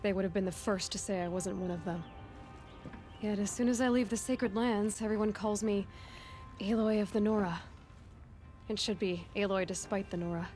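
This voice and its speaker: Actress doing a young outcast huntress, earnest n curious, mid voice, game cutscene with music under it. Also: female voice, mid-range voice, steady tone, moderate pace, darkish tone, natural voice. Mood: wry, frank. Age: young adult. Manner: earnest, questioning. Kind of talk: video game dramatic acting.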